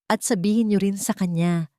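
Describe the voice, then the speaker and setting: A woman's voice: medium pitch, fairly even intonation, normal speed, mellow, no echo, studio quality, lightly acted. A woman narrator voicing a hurt, defiant young woman in an audiobook drama, speaking firmly and emphatically. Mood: resolute, defiant. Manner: firm, emphatic.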